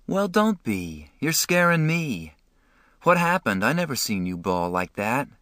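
A man reading an audiobook, voicing a gentle, neglected teenage boy in a soft, plaintive manner. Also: mid-range voice, big pitch swings, measured pace, no room sound, studio quality, voice pushed up. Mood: gentle, worried.

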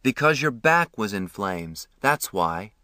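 A man voicing a calm, kind adult stranger reassuring a hurt teenager in a story reading. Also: on the low side, slow, no echo, studio quality, full character voice.

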